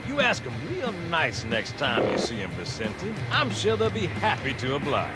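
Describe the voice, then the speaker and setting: An actor playing a tough, sardonic veteran marine sergeant in a video game cutscene. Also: male voice, fairly high pitch, wildly swooping pitch, normal speed, loud music behind the voice. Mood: stern, sardonic.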